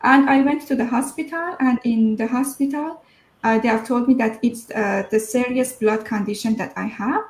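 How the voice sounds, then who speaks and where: A woman's voice: on the high side, moderate pace, echoey room, rough recording. A woman, telling her personal story as an interview guest, hesitant and full of fillers. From Iran.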